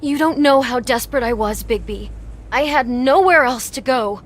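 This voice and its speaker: A woman's voice: high-pitched, moderate pace, a little hiss or hum, natural voice. A woman playing an anxious, embarrassed young woman in a video game, hesitant and apologetic. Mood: ashamed, pleading.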